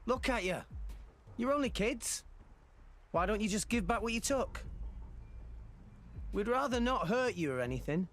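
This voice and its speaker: High-voiced plucky British teenage boy. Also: expressive, unhurried, pauses often, a bit bright. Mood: firm, cocky. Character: good-natured, plucky working teenage boy hero. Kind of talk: video game cutscene acting.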